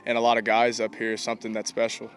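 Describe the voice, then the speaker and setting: A young man, a college ballplayer giving casual, informal interview answers with halting repetitions. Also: medium pitch, monotone. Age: young adult. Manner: informal, halting, repeats himself. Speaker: college baseball player being interviewed. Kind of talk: casual post-game style interview answers. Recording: clear outdoor ambient noise.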